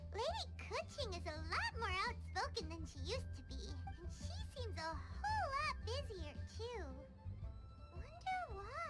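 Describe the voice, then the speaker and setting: A woman voicing a tiny, excitable, cheeky fairy companion in a video game, with a squeaky childlike voice and exclamatory talk. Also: full character voice. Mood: curious, relieved. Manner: speaks of herself in third person, exclamatory. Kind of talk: English video game voice acting. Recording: music playing behind the voice.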